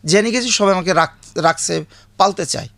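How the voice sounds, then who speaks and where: Creaky higher-voiced Bangladeshi man in his fifties. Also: moderate pace, fairly bright tone, dry recording. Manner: plain, colloquial, repetitive. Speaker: guest telling his life story. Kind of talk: personal storytelling in an interview.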